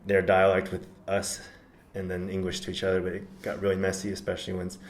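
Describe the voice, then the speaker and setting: A man from the United States talking in a relaxed podcast conversation, thoughtful and measured, often pausing with ums and correcting himself. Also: low-pitched. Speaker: podcast guest. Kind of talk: casual conversation. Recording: roomy echo.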